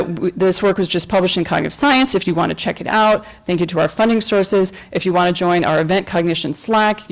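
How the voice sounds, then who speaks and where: Female academic speaker; measured, hesitant Q&A delivery in a conference room. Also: on the low side. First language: English. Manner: hesitant, thoughtful, many fillers. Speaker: conference presenter. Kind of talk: academic talk and Q&A. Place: lecture room at a podium.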